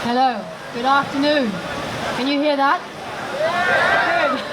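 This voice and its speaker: A woman giving a political campaign speech outdoors, in a deliberate, emphatic oratorical manner with pauses. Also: high voice, steady tone. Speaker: campaign speaker. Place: outdoors in a city square. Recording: outdoor rally PA with loud city traffic noise. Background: loud street ambience and vehicles.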